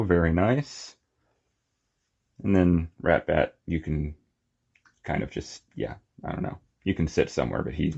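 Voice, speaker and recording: A man with a low-pitched voice talks through a hands-on review in a casual, unscripted way. He rambles and murmurs to himself as he goes. The recording is a little dull and lo-fi. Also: vocal fry, darkish tone, breathy edge. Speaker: toy collector reviewing figures.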